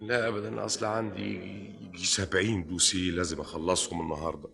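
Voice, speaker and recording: An Egyptian actor playing a fussy, over-polite and nosy government clerk in an old radio comedy, effusive, repetitive and comically precise. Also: male voice, deep voice, little pitch movement, fast talker, gravelly. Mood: anxious complaining.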